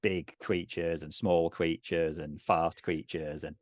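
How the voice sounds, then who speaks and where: A middle-aged man casually narrating a tabletop game, thinking aloud in a halting way. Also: low-pitched, level tone, no echo, studio quality. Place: indoors on a video call.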